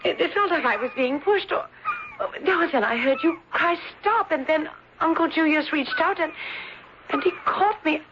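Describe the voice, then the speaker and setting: A woman's voice: high-pitched, moderate pace, natural voice. An actress playing a frail, trusting, melancholy young woman, hesitant and genteel, in an old-time radio melodrama. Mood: shaken, tearful. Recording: dull, noisy old radio broadcast.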